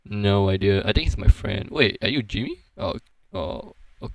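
A person voicing a boastful, evasive stranger who talks haltingly in an improvised voice-changer skit. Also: low voice, dry recording. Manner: halting, stammering. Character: boastful, evasive low-voiced stranger, self-styled pro fighter.

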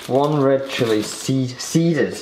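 A young man talks his way through cooking, bemused and mocking himself. His voice sits at a middle pitch and he is recorded on a camera in a home kitchen. Sizzling and stirring can be heard clearly behind him. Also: slow pace, background noise. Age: young adult. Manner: bemused, self-mocking, chatty. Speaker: vlogger. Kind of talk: casual cooking vlog.

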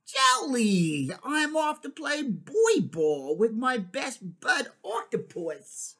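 A reader voicing a sporty young shark in a high, drawn-out, eager voice. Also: wildly swooping pitch, fairly bright tone, full character voice.

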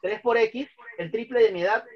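A man's voice: high-pitched, moderate pace, lo-fi sound. A man in his thirties teaching math at a whiteboard in a small room, patiently repeating each step and putting questions to his students. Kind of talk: online class at a whiteboard.

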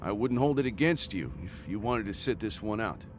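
An actor voicing a determined, driven mercenary commander in a video game, speaking earnestly and decisively. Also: male voice, lowish voice, expressive, moderate pace, noisy background, natural voice. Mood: resolute.

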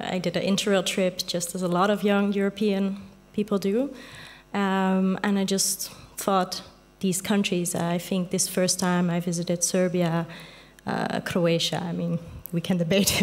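Soft-voiced Dutch journalist on a stage panel. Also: female voice, lowish voice. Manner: thoughtful, hesitant with many pauses. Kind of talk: panel discussion answers. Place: theater stage with audience. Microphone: small headset mic.

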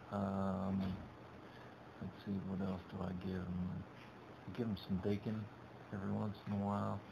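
A middle-aged man narrating his shopping trip in a halting, rambling way, full of restarts, over a webcam. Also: sits low, creaky. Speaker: vlogger. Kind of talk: casual narrated shopping vlog. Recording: dull lo-fi webcam audio with background noise.

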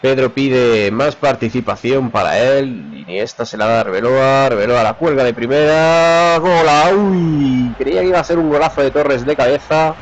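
Man, gamer play-by-play, slightly dull mic, faint hiss. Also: mid-range voice. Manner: excited, rapid name-calling of players. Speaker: gamer commentating his own match. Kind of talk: live play-by-play commentary.

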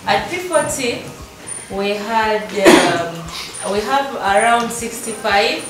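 A woman organizer speaking with soft regret about patients left unserved, recorded indoors in a meeting room. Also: a little high, lively intonation, slow, distant mic. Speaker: mission organizer. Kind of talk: interview account. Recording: very echoey room, off-mic.

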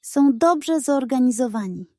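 A woman's voice: high-pitched, lively intonation, unhurried, mellow, no room sound, clean studio sound. A woman voicing a cautious male wolf warrior in a children's story, tense and careful. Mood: hushed caution.

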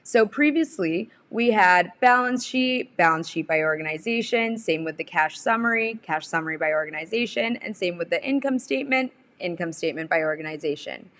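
A young adult woman presenting a software tutorial, explaining each step in an informal, instructional way. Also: on the low side, expressive, fairly quick, few pauses. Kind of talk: instructional screen-share walkthrough.

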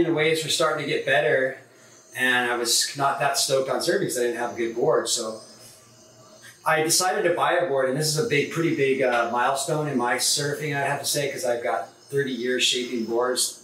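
A man's voice: mid-range voice, sharp tone. A middle-aged man from San Diego, a surfboard shaper, talking casually and rambling with fillers as he reviews a board in his workshop. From San Diego, California. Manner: loose and rambling, many fillers and restarts. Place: surfboard workshop room, indoors. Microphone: camera mic from a few meters away. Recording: echoey room, distant camera mic, faint noise.

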